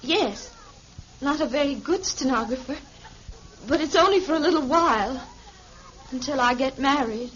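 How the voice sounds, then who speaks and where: An actress playing a gentle, wistful young woman, polite and restrained, in an old-time radio drama. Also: high-pitched, expressive, moderate pace, long pauses. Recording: muffled vintage radio recording with music behind.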